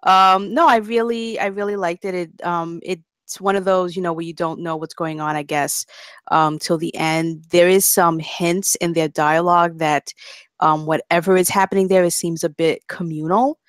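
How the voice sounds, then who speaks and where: Woman, somewhat low pitch, blunt, irreverent, casual critique, very dry sound. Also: fairly even intonation, normal speed, no echo. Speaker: script writer and panelist.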